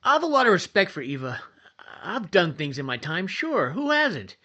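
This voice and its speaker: An actor playing a defensive, gossipy witness in a 1950s radio crime drama. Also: male voice, high-pitched, huge pitch swings, moderate pace, pushed and bright, lo-fi sound, heavily acted. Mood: defensive, indignant.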